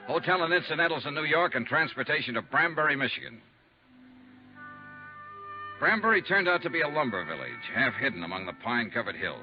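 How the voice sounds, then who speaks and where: A man acts a hard-boiled, insistent American investigator in old radio detective style. His delivery is clipped and wry, with lively swings of pitch. The recording is dull and vintage. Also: fairly quick, soft background music, natural voice. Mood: dry narrating. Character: hard-boiled, persistent male insurance investigator. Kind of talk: 1950s radio detective narration and dialogue. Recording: muffled 1950s radio recording.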